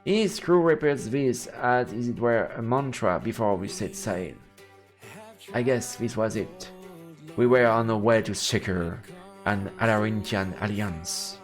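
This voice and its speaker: A man's voice: on the low side, measured pace. A man reading a visual novel aloud on a live stream in a playful way, with stammered asides. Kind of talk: live let's play, reading narration with reactions. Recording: background music under the voice. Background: game music.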